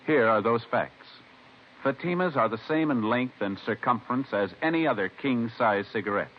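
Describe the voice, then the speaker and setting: A man's voice: mid-range voice, animated, normal speed, a little hiss or hum. A man announcing a scripted 1950s radio commercial with punchy, emphatic salesmanship. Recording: muffled vintage radio recording.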